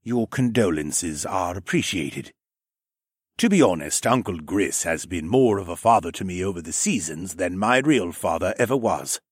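A man voicing a courteous, solemn lizard-like regent with formal, measured phrasing in an audiobook. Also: low-pitched, lively intonation, husky, no room sound, clean studio sound. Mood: grateful, reflective. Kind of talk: audiobook character dialogue.

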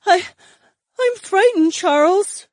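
A timid, frightened young woman speaks in a trembling, breathy voice pitched far above the reader's own, as a male narrator puts her on. Her lines rise and fall sharply, and the recording is dry and close. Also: lively intonation, takes it slowly, no echo, heavily acted.

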